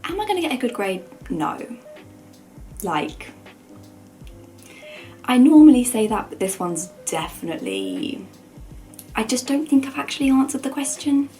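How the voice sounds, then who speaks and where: A young woman with a croaky voice, chatting informally and rambling in a self-deprecating way as a student vlogger. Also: fairly high pitch, huge pitch swings, a little slow, long pauses, warm tone, faint music behind, poor audio. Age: young adult. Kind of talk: casual chat to camera.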